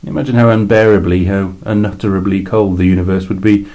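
A man's voice: deep voice, steady tone, quick pace, warm tone. A man voicing a weary male alien envoy, explaining in a dry, exasperated way with sudden emphatic rises in a two-voice science fiction story reading.

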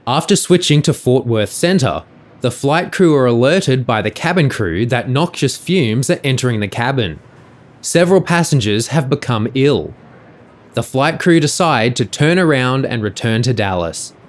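Guy narrating a documentary script, average pitch voice. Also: animated, moderate pace.

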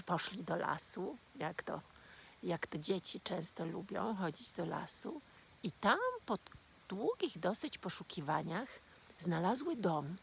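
A woman gently telling a children's fairy tale as a storyteller. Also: lowish voice, vocal fry, a little hiss or hum.

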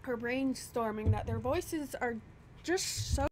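A woman's voice: a little high, slow pace, noisy background. Chatty young-sounding woman narrating her gameplay. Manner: chatty, self-deprecating, talks to herself while sorting items. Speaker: YouTuber playing a block-building game. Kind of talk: casual gameplay chatter to the camera. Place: home desk. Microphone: desk microphone at a computer.

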